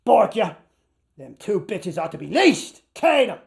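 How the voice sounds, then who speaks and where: A man voicing a gruff, snappy brawler with blunt, exclamatory opinions in a theatrical reading. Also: sits high, very animated, unhurried, full character voice. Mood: angry, scornful.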